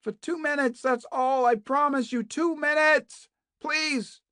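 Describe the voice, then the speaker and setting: A narrator voicing a desperate captive woman doctor who shouts frantically for help. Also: sits high, fairly even intonation, slow pace, no echo, studio quality, pitched above the performer's own voice, heavily acted. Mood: pleading.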